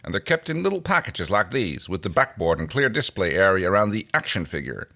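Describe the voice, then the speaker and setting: A man's voice: low-pitched, sing-song, brisk, vocal fry, pushed and bright, pitched below the performer's own voice, full character voice. A man voicing a wry human astronaut who patiently explains things with a drawl in a story reading. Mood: matter-of-fact.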